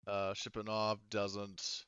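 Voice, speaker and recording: A man's voice: lowish voice, completely flat delivery, takes it slowly, fairly bright tone, clean tone, dry recording, muffled recording. A man acting as game master of a tabletop sci-fi roleplaying game, improvising and thinking aloud in a casual way.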